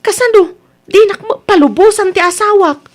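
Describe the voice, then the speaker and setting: A very high female voice with an Ilocano accent, a woman acting a frustrated wife in a radio comedy-drama. She is aggrieved and emotive, pouring out complaints. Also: normal speed. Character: aggrieved married daughter, Zoraida, frustrated with her husband.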